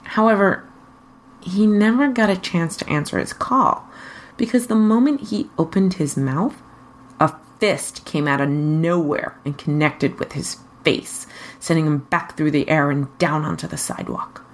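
A woman with a husky voice reading a story aloud as a narrator. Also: low voice, unhurried. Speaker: fan fiction reader.

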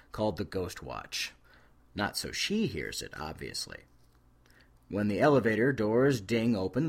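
A man reading a scripted story aloud as an expressive narrator. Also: on the low side. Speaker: fan fiction reader.

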